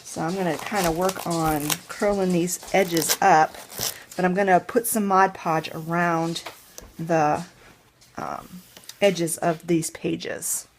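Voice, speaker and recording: A woman with a stuffy, congested nose walks through a home craft project. She speaks in a lowish voice at a very slow, unhurried pace, thinking aloud step by step. A faint hiss of noise sits under her voice. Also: fairly even intonation. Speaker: crafting channel host. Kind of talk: casual DIY tutorial.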